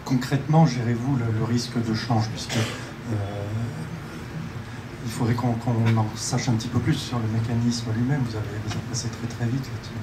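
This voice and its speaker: A man's voice: medium pitch, fairly even intonation, moderate pace. Probing man speaking in an echoey hall. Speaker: audience member asking questions. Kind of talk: spontaneous questioning. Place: audience of a meetup hall. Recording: echoey hall with clear room noise.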